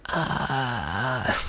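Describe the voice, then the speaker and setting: A man, an Afrikaans speaker, hoarse from singing, talking through a song reaction in a reflective, hesitant way with many restarts. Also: mid-range voice, sharp tone. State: hoarse, strained voice. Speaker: musician reacting to songs. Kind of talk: casual reaction commentary.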